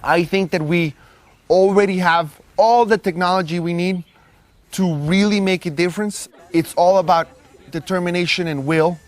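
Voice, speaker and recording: Young man, from Guatemala City, slightly high voice, earnest, measured interview talk. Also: fairly even intonation. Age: young adult. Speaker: solar startup co-founder. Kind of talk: documentary interview.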